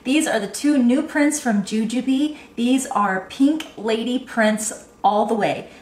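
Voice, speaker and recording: Woman shop owner, nasal with a cold, gushing product vlogger; echoey room. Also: on the high side. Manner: bubbly, gushing enthusiasm. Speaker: online baby boutique owner reviewing bags. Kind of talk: product review vlog.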